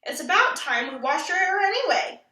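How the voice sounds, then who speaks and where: A woman's voice: extremely high pitch, sing-song, normal speed, sharp tone, roomy sound, far from the mic, pitched above the performer's own voice, exaggerated voice. A woman voicing a bossy, sensible older sister in a children's story, matter-of-fact and full of advice. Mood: practical.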